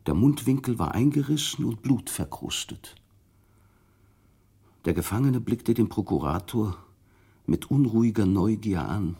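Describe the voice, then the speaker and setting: A man narrating a literary radio drama in a measured, solemn storytelling manner. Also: lowish voice, animated, vocal fry, dark tone, breathy edge.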